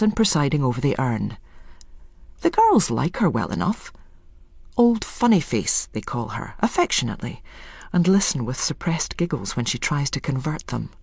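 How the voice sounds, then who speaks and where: Expressive, husky woman storyteller. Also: low-pitched, dry recording. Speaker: storyteller, narrator. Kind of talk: dramatic scripted literary narration.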